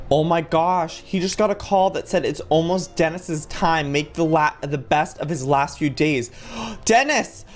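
A man in his twenties giving playful gameplay commentary, excitable, with exaggerated reactions. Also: on the high side, measured pace, few pauses, soft background music. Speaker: gaming video host.

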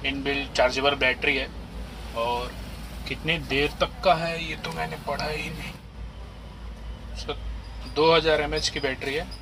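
A man's voice: a little high, steady tone, a little slow, pauses often. A man casually demonstrating and reviewing a product in plain, repetitive explanations. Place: small cluttered shop, indoors. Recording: distant mic, loud vehicle-like noise.

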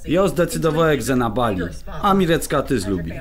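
Young man, acted, dutiful, conciliatory, lively intonation, radio drama. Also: mid-range voice, brisk, a crowd in the background, close to an everyday voice. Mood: deferential. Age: young adult. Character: peacemaking, dutiful young newlywed son and nephew.

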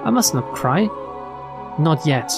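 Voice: mid-range voice, very animated, slow pace, gravelly. A streamer reading visual novel text aloud in a dramatic narrating style. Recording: game music behind the voice.

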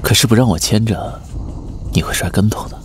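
A man acting a calm, amused captor in a radio drama, softly taunting with faint menace. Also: lowish voice, brisk, soft background music, close to an everyday voice. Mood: teasing.

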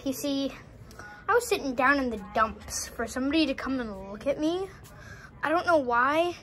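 A woman's voice: high voice, lively intonation, moderate pace, fairly bright tone. A female performer voicing a scrappy, homeless junkyard animatronic with a casual, rambling way of talking in a toy drama. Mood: forlorn, casual. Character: scrappy, down-on-its-luck junkyard animatronic looking for a home.

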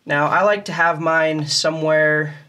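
A man's voice: fairly high pitch, fairly even intonation, measured pace, bright, forceful tone, faint music behind. A man giving a casual, step-by-step tutorial in a plain, methodical way. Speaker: music tutorial host.

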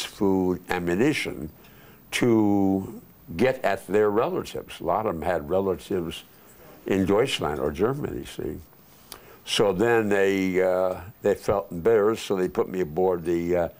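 An older man telling war memories in a casual interview, unhurried and rambling with many fillers, recorded on a lapel mic in a living room. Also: low voice, a little mellow. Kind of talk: casual oral history interview. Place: living room, seated on couch.

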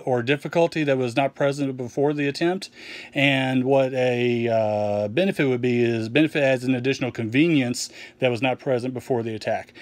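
A man explaining a tabletop game's core rules in a casual walkthrough, relaxed with frequent ums and restarts, recorded over an open rulebook on a table. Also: mid-range voice, no room sound. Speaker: game publisher explaining rules.

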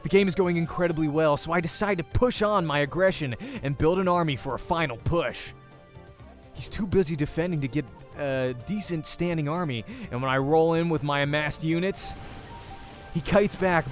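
A man's voice: fairly high pitch, moderate pace, soft background music. A young man hosting a let's play, reading a visual novel aloud in the first person with dramatic storytelling.

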